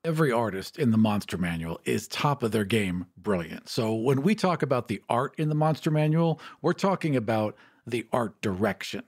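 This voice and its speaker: A man's voice: medium pitch, lively intonation, moderate pace, darkish tone. A man speaking as a podcast co-host, sharing commentary in casual chat.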